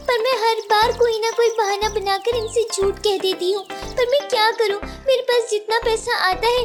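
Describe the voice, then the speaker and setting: Cartoon mother bird: extremely high voice, tender, earnest and emotional, music playing behind. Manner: earnest, emotional, thinking aloud. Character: hard-working, sorrowful mother bird, tender and self-blaming. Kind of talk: Hindi children's cartoon voice acting.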